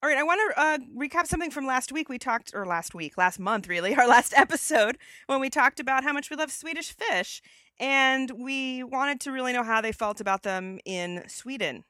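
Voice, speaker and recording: A woman's voice: high-pitched, animated, normal speed, bright, forceful tone, no room sound. A woman co-hosting a podcast in casual chat, who laughs easily and reads listener mail aloud. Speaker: podcast co-host.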